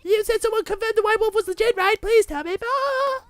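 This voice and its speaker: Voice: very high voice, brisk, a bit bright, no room sound, faint music behind, voice pushed up. A game player putting on a whiny, gossipy teenage schoolgirl voice for a visual novel. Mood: whiny, indignant. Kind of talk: mocking amateur character reading.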